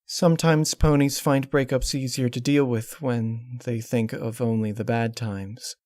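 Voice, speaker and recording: A man's voice: medium pitch, steady tone, measured pace, a little mellow, no echo, pitched above the performer's own voice, natural voice. A man voicing a distraught, controlling young female pony princess in a storybook reading, with a pleading, emotionally strained delivery. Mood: subdued, regretful. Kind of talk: dramatic storybook character reading.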